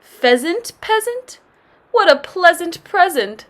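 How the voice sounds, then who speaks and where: A woman's voice: extremely high pitch, big pitch swings, takes it slowly, a bit bright, pitched above the performer's own voice, exaggerated voice. A woman voicing a brash, haughty young male ogre in a storybook, with bossy, exclamatory delivery. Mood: bossy, mocking.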